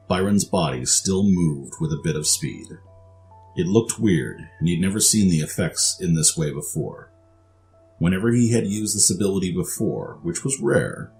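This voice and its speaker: A man's voice: sits low, creaky, a little mellow. A man reading scripted story narration for an audio drama.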